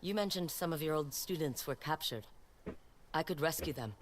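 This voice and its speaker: Terse low-voiced young warrior woman played by an actress. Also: moderate pace, natural voice. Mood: resolute. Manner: terse, dry, resolute. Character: determined young female warrior student, dryly wry. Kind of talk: video game character acting. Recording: clear noise of game ambience.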